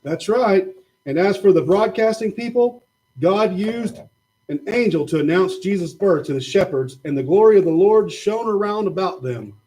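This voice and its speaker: A man's voice: sits high, lively intonation, normal speed, rough recording. A man patiently telling a Bible story to children in a church puppet show. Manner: patient, gently explaining.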